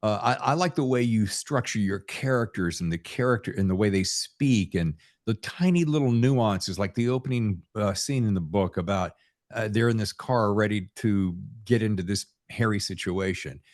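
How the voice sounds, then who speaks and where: A man's voice: on the low side, normal speed, darkish tone. A man hosting a podcast, chatting casually with guests in a folksy, effusive, rambling way. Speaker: podcast host interviewing authors. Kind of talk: casual interview chat.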